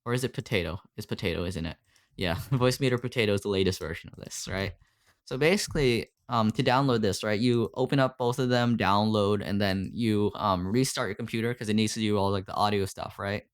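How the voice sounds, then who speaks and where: A man mentoring on a call, walking through his audio setup in a loose, filler-heavy way and asking "right?" after nearly every point, speaking into a desktop condenser mic at home. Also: lowish voice. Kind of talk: casual screen-share tutorial on a call. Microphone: desktop USB condenser mic.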